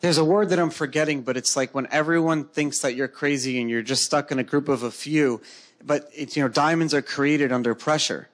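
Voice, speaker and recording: Man, native Hebrew speaker, interview host, joking manner, higher voice, conference stage, handheld mic. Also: fairly quick. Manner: joking, lively. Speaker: podcast host. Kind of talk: casual onstage interview. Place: conference stage before a live audience.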